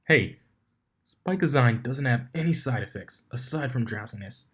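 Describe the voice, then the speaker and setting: A man's voice: medium pitch, expressive, moderate pace, husky, pitched above the performer's own voice. A man voicing a grumpy, defiant adolescent male dragon helper, huffy and defensive, in a fan fiction reading. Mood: indignant.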